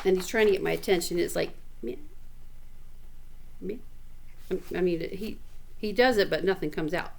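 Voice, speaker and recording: A woman's voice: lowish voice, normal speed, vocal fry, pauses often, slight background noise. A middle-aged woman, a teacher, reading a picture book to children with chatty asides. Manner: animated, chatty, explains words to children.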